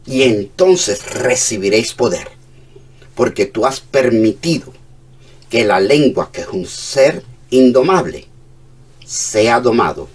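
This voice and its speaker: Cuban-accented male pastor, fervent sermon delivery, husky low voice recorded from a distance. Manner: fervent preaching, shifts between hushed and emphatic.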